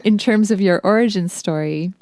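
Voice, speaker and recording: A woman's voice: medium pitch, moderate pace, darkish tone, dry recording, studio quality. A woman hosting a podcast, asking questions in an easygoing, casual interview manner. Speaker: podcast host.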